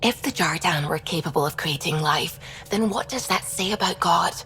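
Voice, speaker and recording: An actress playing an earnest, thoughtful scientist in a video game, speaking in a reflective, sincere way. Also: female voice, fairly low pitch, normal speed, a bit bright, slight background noise. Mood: pensive, sincere. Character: earnest, thoughtful female scientist of deep faith.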